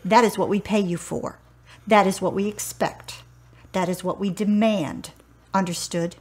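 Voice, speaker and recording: A woman's voice: medium pitch, fairly even intonation, measured pace, husky. A stern, demanding female boss. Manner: clipped, formal, emphatic. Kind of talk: radio drama acting.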